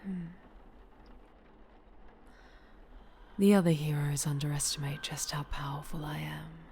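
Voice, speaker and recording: A woman voicing a possessive, sinister superhero in an ASMR roleplay, soft and often whispered, with a tender, coaxing menace. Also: sits low, fairly even intonation, a little fast, gravelly, husky, heavily acted. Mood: quietly menacing. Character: possessive, sinister female superhero, a sweetly menacing obsessive captor.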